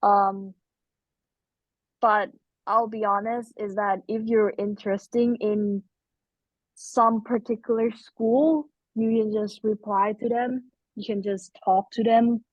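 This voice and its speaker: A young woman whose first language is Thai, answering questions in a webinar with frequent ums and pauses. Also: medium pitch, steady tone, dry recording. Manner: hesitant, many ums. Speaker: student guest speaker. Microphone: video call. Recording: muffled video call audio.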